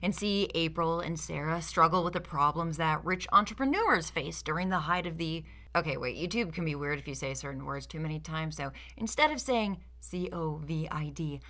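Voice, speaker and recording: Young woman, bright and animated sarcastic commentator. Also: sits low, normal speed. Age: young adult. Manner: sarcastic, theatrical, mock-indignant. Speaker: video essayist, host. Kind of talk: scripted critical commentary.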